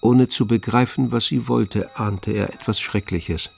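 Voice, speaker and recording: A man with a low voice and a gravelly creak narrates a literary radio drama. His delivery is solemn and measured, with string music behind him. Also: steady tone, a little mellow.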